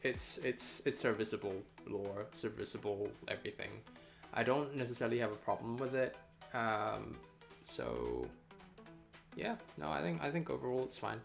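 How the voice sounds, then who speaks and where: A man's voice: medium pitch, big pitch swings, moderate pace, vocal fry, faint music behind. A man chatting casually and unscripted on a game development livestream, rambling as he thinks aloud and swearing freely.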